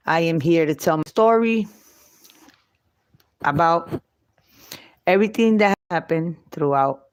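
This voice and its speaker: Slow, halting middle-aged New York Puerto Rican woman. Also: sits low, a little mellow, no echo. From Puerto Rico and New York. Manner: halting, with long pauses between short phrases. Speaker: vlogger telling her life story. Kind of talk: unscripted personal storytelling.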